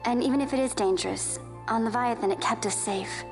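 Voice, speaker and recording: A woman's voice: fairly high pitch, a little fast, lightly acted. Woman as a trusting young adventurer over music. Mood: reassuring. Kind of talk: fantasy game acting. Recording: loud orchestral music behind.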